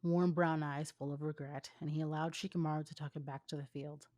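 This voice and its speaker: A woman's voice: low voice, steady tone, a little fast, darkish tone. A young adult woman co-hosting a fan fiction reading, narrating with casual asides.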